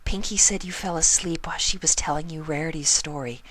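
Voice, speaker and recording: A man voicing a shy, gentle young female pegasus pony in a soft, hushed, breathy voice for a cartoon fan story. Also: a little high, a little fast.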